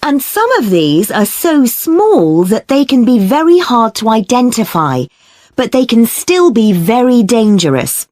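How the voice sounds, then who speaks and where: A woman playing a lecturer, delivering a scripted academic talk in a measured, articulate, explanatory way. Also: fairly high pitch, big pitch swings, darkish tone, no echo, clean studio sound. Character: female academic lecturer explaining problems and solutions.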